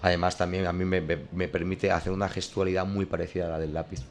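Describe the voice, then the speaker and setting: A comic artist talks through how he works, his voice very low and gravelly. He stops and restarts often. A faint hiss sits behind him. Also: flat delivery, fast talker. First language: not French. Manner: hesitant, many restarts and fillers. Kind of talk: explaining his drawing process.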